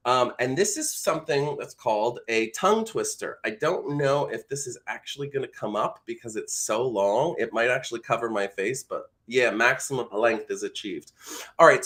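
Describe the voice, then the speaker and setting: A man's voice: mid-range voice, animated, brisk, a bit bright. A man hosting a live voice acting lesson, talking casually and encouragingly and reading practice lines aloud. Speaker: voice acting coach and livestream host. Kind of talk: casual live teaching with read-aloud demonstrations.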